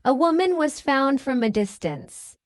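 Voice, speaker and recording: A woman reads a children's storybook in a lively, varied tone. Her pace is unhurried and the sound is close and dry. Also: sits high, no echo. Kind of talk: scripted storybook narration.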